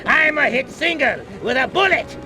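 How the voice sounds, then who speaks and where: A man's voice: very high voice, lively intonation, normal speed, gravelly, over music, heavily acted. A man voicing a flamboyant, slangy lounge-singer villain turned goofy ally in a cartoon video game, showy and exclamatory. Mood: boastful.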